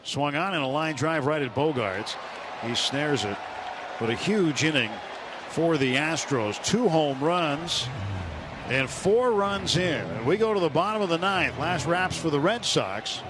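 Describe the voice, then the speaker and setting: A man's voice: fairly high pitch, lively intonation, a little slow, a crowd in the background, noisy background. A man calling a baseball game live on the radio as the play-by-play announcer. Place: stadium broadcast booth.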